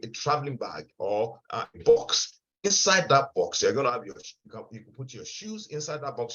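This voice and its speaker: A man leads an online seminar in a mid-pitched voice, restarting phrases and repeating words as he goes. The sound is dull and lo-fi, as heard over a video call. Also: fairly bright tone. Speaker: webinar presenter.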